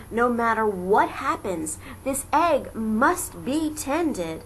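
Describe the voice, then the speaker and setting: Woman reading a kids storybook, slowish and really expressive, little noise in the home recording. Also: a little high. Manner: dramatic, lingering on repeated phrases. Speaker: amateur storybook reader. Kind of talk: expressive children's story narration. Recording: noisy amateur home recording.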